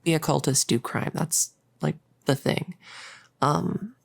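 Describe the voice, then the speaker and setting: A creaky, dry-recorded voice of a young cultist acted by a woman in an intimate audio roleplay. She is nerdy and playful, rambling in halting bursts. She teases and coddles in a casual, doting way. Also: deep voice, no room sound, heavily acted. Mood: curious, rambling. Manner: chatty, halting, teasing, coddling.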